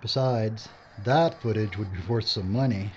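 A man's voice: on the low side, normal speed, close to an everyday voice. Actor as a wry, practical man, dull sound. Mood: matter-of-fact. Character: practical, pedantic man filmmaker, quick to correct. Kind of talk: audio drama acting. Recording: dull lo-fi audio, faint noise.

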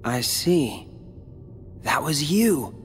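A man's voice: on the high side, expressive, extremely slow, a little hiss or hum. A man playing a brooding, proud teenage boy in an earnest, dramatic video game cutscene. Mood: guarded, quiet. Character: brooding, proud teenage boy hero and his tormented replica.

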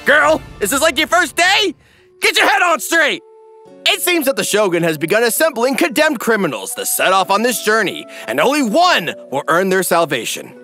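A man narrating a scripted comedy parody in an animated, tongue-in-cheek manner. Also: extremely high pitch.

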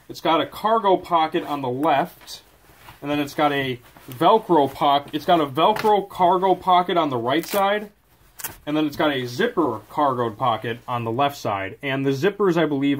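A man's voice: on the high side, a little hiss or hum. A man giving a casual, off-the-cuff product review at a desk, often correcting himself as he goes. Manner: chatty, off-the-cuff, with self-corrections. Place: indoors at a desk.

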